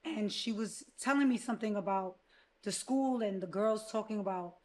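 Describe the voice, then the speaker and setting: A woman's voice: moderate pace, a little mellow. A woman co-hosting a podcast while losing her voice, speaking earnestly in long run-on thoughts. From Connecticut, United States. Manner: earnest, talks in run-on thoughts, lowers her voice on serious points. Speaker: podcast co-host. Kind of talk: casual podcast conversation.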